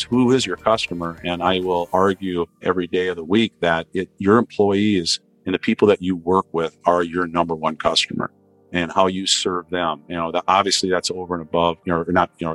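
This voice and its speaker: A baritone-voiced man speaking as a podcast guest in an earnest, reflective way, often repeating phrases as he builds his points. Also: a bit bright. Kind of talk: podcast interview, consulting talk.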